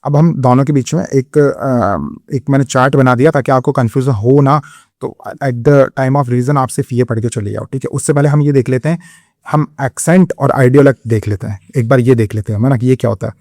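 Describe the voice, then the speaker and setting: A man with an Indian accent lectures like a coaching-class teacher, explaining step by step and pausing to check understanding. His voice is dark and mellow, recorded close and dry with no room sound. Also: mid-range voice, dry recording. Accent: Indian English. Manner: explanatory, repeats "theek hai" to check understanding. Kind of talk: exam-prep lecture.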